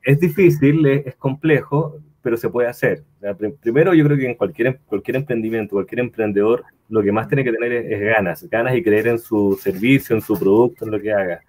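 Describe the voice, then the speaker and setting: A man's voice: mid-range voice, fairly quick, hardly any pauses, a little mellow. A Chilean man with a Chilean accent giving a conversational webinar talk, often restarting phrases and tagging them with "¿vale?". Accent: Chilean, slightly mixed after living in Colombia. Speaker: guest speaker, consultancy founder.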